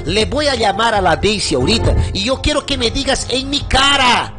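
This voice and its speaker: A man's voice: very high voice, lively intonation, moderate pace. A man voicing an angry, insistent young woman who fires repeated accusing questions in a dramatic story. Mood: furious, accusing. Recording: music under the voice.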